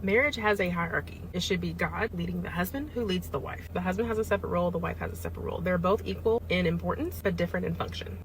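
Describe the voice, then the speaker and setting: A woman's voice: on the low side, fast, few pauses, slight background noise, rough recording. A young American woman giving an opinionated social media monologue in a blunt, didactic manner. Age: young adult. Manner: blunt, didactic, quotes imagined objectors.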